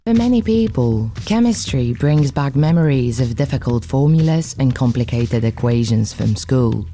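A woman's voice: rumbling low, mellow. A woman narrating a scripted radio feature as its presenter. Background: faint music.

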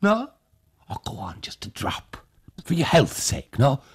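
A man voicing a fussy, anxious old village grandfather who is pleading and given to loud, excitable bursts. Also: mid-range voice, a little slow, husky, voice pushed up, full character voice. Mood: eager. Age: older.

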